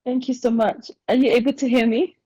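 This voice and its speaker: A young woman, born in Nigeria and raised in England, sharing her story with a panel over a remote video call in a chatty way with quick asides. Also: a little high, fairly even intonation, slightly rough, no echo, dull audio. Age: young adult. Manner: chatty and warm, quick asides with light laughs. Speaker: alumna panelist.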